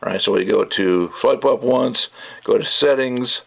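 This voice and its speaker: A man's voice: medium pitch, unhurried, gravelly. A man giving a casual, opinionated product review as a rant, rambling and emphatic, often checking in with short tags. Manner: rambling, emphatic, punctuating points with okay and all right. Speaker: product reviewer, hobbyist audiophile.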